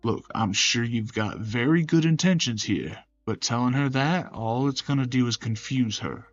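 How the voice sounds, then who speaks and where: A man's voice: mid-range voice, normal speed, dry recording, lo-fi sound, faint music behind, natural voice. A man voicing a courteous, protective male caregiver in a visual novel, with a folksy manner. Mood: earnest, protective.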